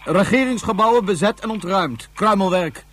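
An actor playing a cold, determined prince who leads a secret military plot in a radio play. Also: male voice, sits high, normal speed, crowd noise, lightly acted. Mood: cold, resolute. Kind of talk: Dutch science-fiction radio play acting.

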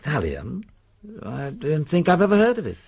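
Posh-mannered british country gent, husky, very swoopy intonation, suave n mocking, radio play. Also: male voice, on the high side, wildly swooping pitch, normal speed, darkish tone, exaggerated voice. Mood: wary, disbelieving. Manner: urbane, drawing-room politeness with disdain. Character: suave, haughty country gentleman suspect, urbane and mocking. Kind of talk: radio drama acting.

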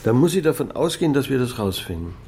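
A brusque, impatient investigator. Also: male voice, mid-range voice, big pitch swings, fast talker, mellow, slightly rough. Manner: brusque, blunt questions. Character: impatient male police investigator. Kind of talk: radio play acting.

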